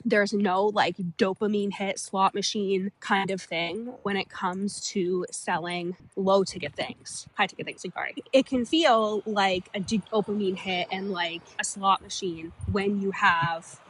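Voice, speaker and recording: A woman's voice: medium pitch, slight background noise, low-quality recording. A woman hosting her own podcast, talking bluntly and with lots of swearing, sounding stuffed up from a cold as she walks outdoors. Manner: blunt, profane, fast and energetic. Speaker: podcast host and business coach. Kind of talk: casual solo podcast monologue. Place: outdoors on an evening walk.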